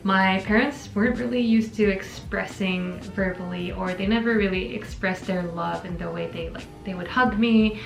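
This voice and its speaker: A woman's voice: medium pitch, normal speed, gravelly, hardly any pauses. A young woman from Korea hosting a casual relationship Q&A, answering thoughtfully and reading viewer questions aloud. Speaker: channel host. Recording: echoey room with background music.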